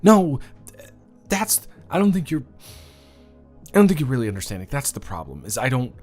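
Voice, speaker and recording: A man's voice: mid-range voice, big pitch swings, moderate pace, darkish tone, soft background music. Stammering, flustered young man. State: overwhelmed. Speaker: voice actor in a romance audio drama. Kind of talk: one-sided audio roleplay to the listener.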